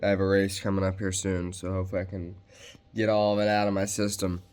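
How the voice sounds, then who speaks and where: A man's voice: sits low, completely flat delivery, fast talker, a little mellow, smooth. A young man with a congested, stuffy voice, casually telling viewers he is getting sick. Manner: casual, talks to viewers as guys. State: congested, clearing throat.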